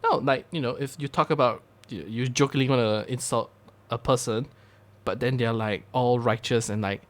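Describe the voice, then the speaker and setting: A man hosting a podcast in casual chat, playful and laughing often between remarks. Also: normal speed. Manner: playful, laughs often, lively rising and falling pitch. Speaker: podcast host.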